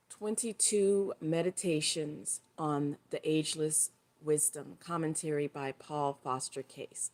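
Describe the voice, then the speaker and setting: Low-voiced middle-aged woman, a bit hoarse. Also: a little mellow. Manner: loose and rambling, with fillers and self-corrections. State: dry, hoarse voice. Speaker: live stream host and tarot reader. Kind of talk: casual spoken livestream talk.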